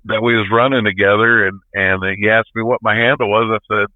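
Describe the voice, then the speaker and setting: Man, 50s, folksy trucker storyteller, lowish voice, thin phone-like sound. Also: fairly even intonation, fairly quick, no room sound. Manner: plain-spoken, folksy. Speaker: truck driver, interview guest. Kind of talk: casual storytelling. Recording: tinny phone-like line.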